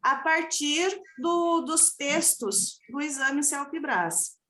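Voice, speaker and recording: A woman's voice: high-pitched, takes it slowly, sharp tone. A woman joining a video call from her phone, apologetic and explaining her research step by step. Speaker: participant, language researcher. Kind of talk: casual video-call chat. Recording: roomy phone audio on a call.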